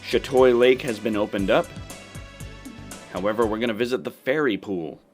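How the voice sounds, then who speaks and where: Male playthrough host, on the low side, casual and explanatory, game music underneath. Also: normal speed. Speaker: gaming video host.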